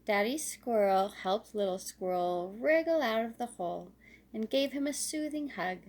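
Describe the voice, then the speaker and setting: A woman reading a children's story aloud as scripted narration, expressive and deliberate. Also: on the high side.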